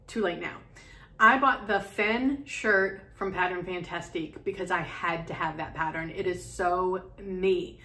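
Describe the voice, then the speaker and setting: A woman's voice: on the low side, measured pace, pushed and bright. A middle-aged woman in her home sewing room chatting to camera, rambling with many ums and restarts. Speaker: sewing vlogger. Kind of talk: casual chat to camera. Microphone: camera in front, medium close framing.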